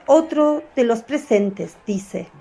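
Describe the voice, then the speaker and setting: A woman narrates a radio drama at an unhurried pace, her voice a little high. Her storytelling is measured and clear. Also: expressive, dark tone.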